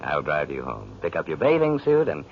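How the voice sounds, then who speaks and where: Smooth-talking American playboy for radio drama, low voice with animated swings, flirtatious and spoiled. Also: male voice, normal speed, heavily acted. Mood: teasing, persuasive. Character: suave, idle, spoiled playboy charmer. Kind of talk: 1940s radio acting. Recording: dull, hissy 1940s radio recording.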